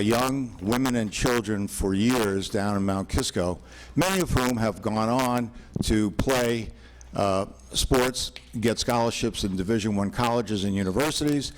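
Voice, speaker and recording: An older man speaks as an attorney before a town board, his fairly low voice carrying loudly into a podium microphone. He delivers his case in a measured, formal way, pausing on frequent fillers. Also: slight background noise. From New York, USA. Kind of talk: formal public presentation. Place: town hall meeting room.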